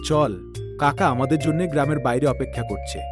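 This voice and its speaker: Man voicing a confident, high-pitched boy detective. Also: fairly even intonation, brisk, over music, lightly acted. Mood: impatient. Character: confident, skeptical young boy detective and team leader.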